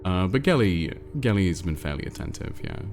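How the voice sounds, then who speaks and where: Male livestream host, low and creaky, stuffed-up nose, rambling casual chat, faint music behind. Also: sing-song, a little fast. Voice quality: nasal, congested. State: congested with a cold. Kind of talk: casual livestream chat, reading viewer messages aloud.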